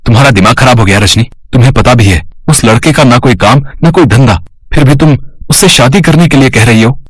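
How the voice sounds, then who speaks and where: A man playing a protective, stern but loving elder brother in a melodramatic cartoon story, with an emphatic, husky delivery. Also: mid-range voice, fairly quick, dry recording, lightly acted. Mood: stern anger. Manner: emotional, emphatic.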